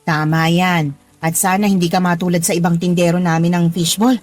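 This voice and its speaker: An actress playing a kindly married boss in a Filipino radio drama, giving firm, nurturing advice in a Filipino accent. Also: female voice, fairly low pitch, little pitch movement, a little fast, darkish tone, lightly acted. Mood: warm, advising. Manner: advising, nurturing, firm reminders. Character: kindly, motherly married female boss teaching street-food vending. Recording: music playing behind the voice.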